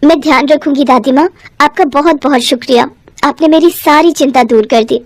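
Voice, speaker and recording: A woman's voice: sits high, normal speed, no room sound. A woman voicing a meek, kind young daughter-in-law in a storybook tale, polite and anxious. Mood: caring, grateful.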